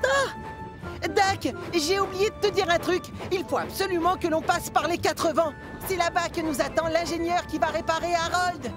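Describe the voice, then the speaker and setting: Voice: very high voice, normal speed, music in the background. A performer voicing an eager, cheeky young male steam engine in a children's cartoon dub, with an exclamatory delivery. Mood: scheming.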